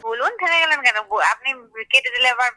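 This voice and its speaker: Sharp, indignant woman on phone. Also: high-pitched, fast. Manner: indignant, curt, challenging. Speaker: prank call recipient. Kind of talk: phone argument. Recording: telephone call with faint noise.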